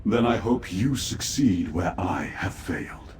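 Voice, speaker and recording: An actor playing a despairing but loyal servant of a fallen ruler in a fantasy video game, speaking gravely and formally with urgency. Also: male voice, fairly low pitch, expressive, unhurried, a little mellow. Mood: grave, resigned. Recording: echoey room, faint background noise.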